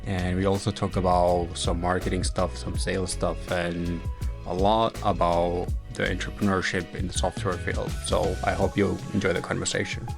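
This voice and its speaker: Deep gravelly male podcast host. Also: little pitch movement, normal speed, music in the background. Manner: brief encouraging interjections. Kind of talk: casual interview, scripted intro.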